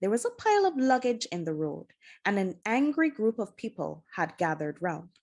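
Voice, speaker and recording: Woman reading a kids adventure book out loud, mid pitched voice, dramatic storyteller style. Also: sing-song, normal speed. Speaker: storybook reader. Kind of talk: scripted narration, children's adventure novel read aloud.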